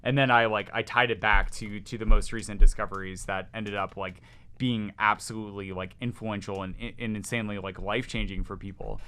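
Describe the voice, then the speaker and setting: A man with a loud, resonant voice, talking excitedly as a podcast guest, rambling with many restarts. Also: fairly low pitch, fairly quick, clear, resonant tone. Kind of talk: casual chat.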